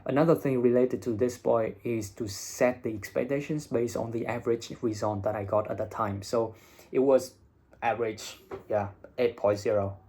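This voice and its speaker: A man's voice: mid-range voice, fairly even intonation, normal speed, dark tone, breathy edge. Blunt young man vlogging. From Vietnam. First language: Vietnamese. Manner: blunt, swears casually. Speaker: IELTS teacher and vlogger. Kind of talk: casual advice monologue.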